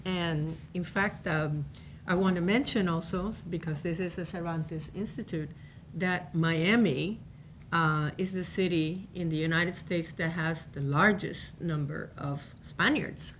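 A woman's voice: lowish voice, unhurried, slight background noise. A woman in her sixties, born in Cuba, giving a conversational university talk at a table microphone, with many fillers and restarts. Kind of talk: informal lecture on a panel. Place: university seminar room.

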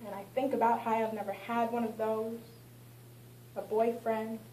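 Young woman, spoken word poet, dramatic rhythmic recitation, high voice, echoey room. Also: level tone, moderate pace, warm tone, slight background noise. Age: young adult. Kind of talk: spoken word poetry performance. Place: small art gallery, indoors. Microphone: far camera, no mic. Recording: roomy, distant camera recording.

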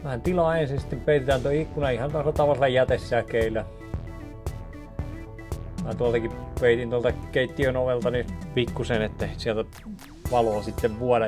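A man in his thirties talks through a DIY set build in a relaxed, rambling way, restarting his sentences often. His voice sits at an ordinary middle pitch and is picked up close by a camera in a small office studio. Clear background music plays under the whole recording. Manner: chatty, rambling, with many restarts. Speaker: video maker showing a set build. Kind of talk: casual DIY vlog talk. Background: music playing under the talk.

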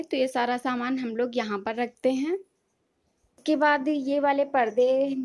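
A woman's voice: fairly high pitch, darkish tone. A young woman giving a casual house tour in an explanatory way, often repeating and rephrasing herself. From India. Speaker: home vlogger. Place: inside a family house, moving room to room. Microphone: handheld phone behind the camera.

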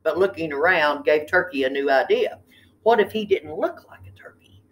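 A woman's voice: deep voice, lively intonation, moderate pace. An older woman reading a children's picture book expressively, with chatty asides and questions to the listeners. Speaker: read-aloud storyteller. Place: indoor room with tiled ceiling.

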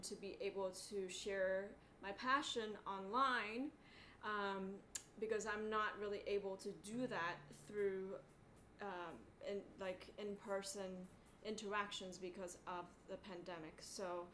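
A middle-aged woman, born in Hong Kong and raised in Boston, chatting casually and haltingly but keenly as a cello teacher hosting a livestream from her living room. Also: mid-range voice, fairly bright tone. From born in Hong Kong, raised in Boston, USA. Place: small living room at home, indoors. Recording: clearly noisy home webcam audio.

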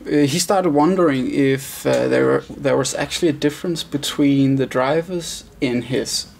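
A man's voice: mid-range voice, unhurried, vocal fry, darkish tone. A man in his thirties hosting a casual question-and-answer interview. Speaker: host, interviewer.

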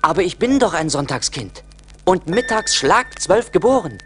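An actor playing a poor, discontented young charcoal burner in a 1980s radio play, musing theatrically in envy and longing. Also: male voice, high-pitched, big pitch swings, moderate pace. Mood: eager. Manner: theatrical, brooding monologue.

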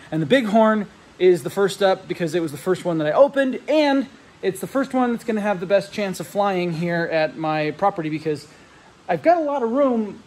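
A man in his thirties filming himself with a handheld phone in a large metal hangar, talking casually and a bit rambling as he explains a model plane setup. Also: high-pitched. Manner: relaxed, rambling, with fillers and restarts, explains technical setup. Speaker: RC plane hobbyist vlogger. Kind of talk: casual walkthrough vlog. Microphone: handheld phone camera held close.

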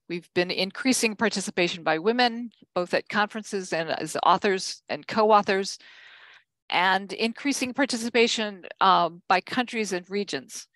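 An older woman giving a conference keynote from slides, measured, with frequent ums and self-corrections. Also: on the low side, fairly bright tone, no room sound. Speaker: keynote speaker.

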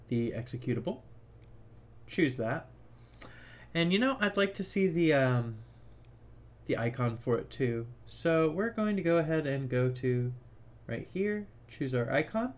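A man's voice: medium pitch, fairly bright tone. A man narrating a casual tech tutorial, explaining things informally step by step.

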